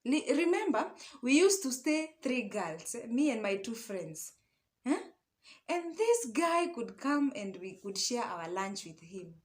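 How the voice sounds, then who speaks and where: A woman's voice: on the high side, big pitch swings, unhurried. A young woman with a Kenyan accent telling a personal story casually and conversationally, mixing English and Swahili and often checking in with little tag questions. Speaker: online storyteller and love coach.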